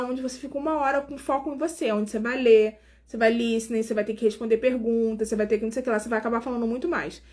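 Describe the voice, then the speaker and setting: High-voiced chatty Carioca woman in her forties. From Brazil. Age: 40s. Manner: chatty, warmly addresses viewers directly, frequent fillers. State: tired. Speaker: nurse hosting a live stream. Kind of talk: casual live-stream chat with viewers.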